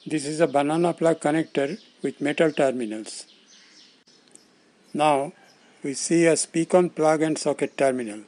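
A male tutorial presenter with a somewhat high-pitched voice reads scripted instructions. He explains things plainly, breaking the narration into short, measured phrases. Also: a little mellow.

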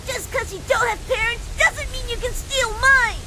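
A woman voicing an angry, bratty little rabbit child in a comic dub, shrill and accusing. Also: fairly even intonation, moderate pace. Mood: angry, tearful. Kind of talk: animated comic dub acting. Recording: loud noise behind the voice.

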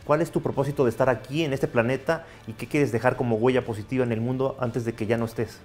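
A man's voice: mid-range voice, steady tone, fast talker, darkish tone, faint music behind. A man in his thirties hosting an interview in a bar, asking questions in a friendly, inviting way. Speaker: interview host. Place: bar with bottle shelves.

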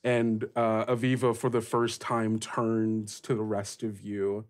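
A man improvising narration as game master of a tabletop game, describing scenes casually and haltingly. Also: lowish voice, completely flat delivery.

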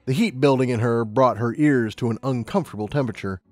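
A man narrates a story from a script. His voice is medium in pitch and recorded dry and close, with music playing behind it. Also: quick pace, darkish tone, no echo. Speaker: audiobook narrator.

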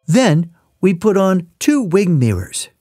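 A woman narrating a children's cartoon in a playful, animated way, with chatty asides to her young listeners. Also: fairly low pitch, slow. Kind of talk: playful scripted narration for toddlers. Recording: music behind the voice.

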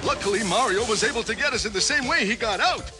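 A man voicing a kindly, nervous, exasperated younger plumber brother in a cartoon, with a jittery, excitable manner. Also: sits high, fast talker, music in the background. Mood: storytelling. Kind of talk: animated cartoon hero acting.

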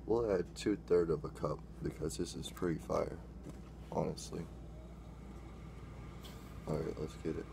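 A man's voice: very deep voice, a little slow, vocal fry, long pauses, noisy background. A young man casually taste-testing drinks on camera in a small room, rambling and correcting himself as he talks. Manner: rambling, self-correcting, excitable when tasting. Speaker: drink-mixing vlogger. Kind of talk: casual taste-test vlog. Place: small dim room indoors.

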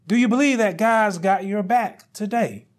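A middle-aged male pastor preaching a sermon, speaking deliberately and rising to loud, emphatic peaks. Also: sits high.

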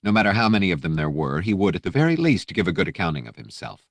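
Male audiobook narrator, extremely low voice, dramatic fiction read, very dry close sound. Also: very animated, fast, no echo.

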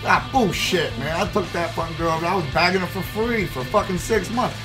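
A man's voice: sits high, normal speed. Young man telling a rambling, profane story. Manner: profane, rambling, animated storyteller with many fillers. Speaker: streamer. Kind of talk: casual storytelling while gaming. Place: indoor room at gaming desk. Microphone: headset. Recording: background guitar music playing.

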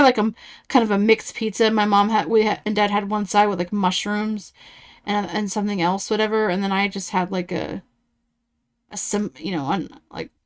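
A woman in her thirties giving casual reaction commentary in a blunt, sarcastic and profane way. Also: mid-range voice. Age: 30s.

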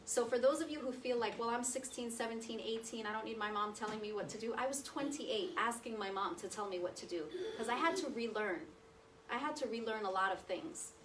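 A woman's voice: fairly high pitch, background noise. A woman in her thirties giving a chatty, conversational talk, seated at a table and often checking in with her listeners. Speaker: guest speaker. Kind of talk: personal testimony talk to an audience. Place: meeting room with projector screen. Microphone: far camera, speaking seated at a table. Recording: distant roomy sound from across the room.

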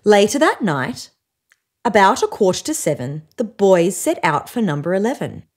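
A woman in her thirties reads a children's storybook aloud. Her voice sits at a medium pitch and rises and falls in an animated way. She phrases each piece deliberately, as for young listeners. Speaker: storybook reader. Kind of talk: expressive children's read-aloud. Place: small living room, indoors on a sofa.

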